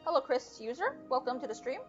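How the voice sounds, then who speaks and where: A woman's voice: high-pitched, big pitch swings, fast. A young woman live-streaming a game with casual, playful commentary and wry asides, sometimes reading the game's text aloud. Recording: dull lo-fi mic, game music behind.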